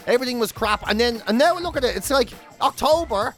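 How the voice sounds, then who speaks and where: Irish man, high voice, very lively delivery, sweary comic ranting, casual podcast chat. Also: brisk, faint music behind. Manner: sweary, animated mock-ranting. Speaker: podcast and radio host.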